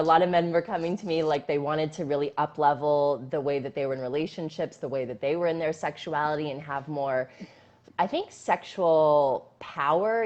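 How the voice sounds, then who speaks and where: Low-voiced woman coach, chatty and effusive. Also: darkish tone, dull audio. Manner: flowing, enthusiastic, many fillers and restarts. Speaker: intimacy coach guest. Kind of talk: casual live-stream conversation. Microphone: phone on a stand.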